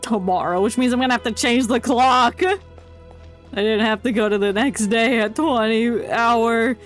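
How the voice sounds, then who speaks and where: A woman's voice: on the high side, measured pace. A woman streamer giving casual, informal commentary and reactions during a game livestream. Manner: chatty, informal, reacting to dialogue. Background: game music.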